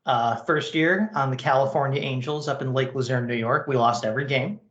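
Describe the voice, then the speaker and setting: A man's voice: mid-range voice, brisk, fairly bright tone, dull audio. Echoey American man hosting a podcast. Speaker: podcast host. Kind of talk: casual, chatty hosting. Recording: echoey, roomy sound.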